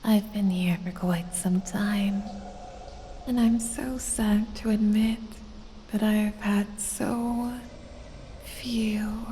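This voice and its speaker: Woman acting a slow, whispering, predatory snake-woman seductress. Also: mid-range voice, steady tone, mellow, natural voice. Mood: coaxing. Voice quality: breathy, whispery. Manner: slow, sultry, drawn-out, gloating purr. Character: evil, seductive female lamia, venomous snake woman toying with prey. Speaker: audio roleplay performer. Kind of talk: ASMR roleplay, addressing the listener. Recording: long cave-like reverb, faint background noise.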